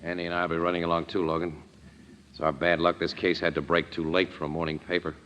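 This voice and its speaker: An actor as a deep-voiced, shrewd American crime photographer. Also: male voice, little pitch movement, fast, noisy background, lightly acted. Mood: cool, probing. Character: shrewd, steady male crime photographer, loyal to friends. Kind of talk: old-time radio crime drama acting.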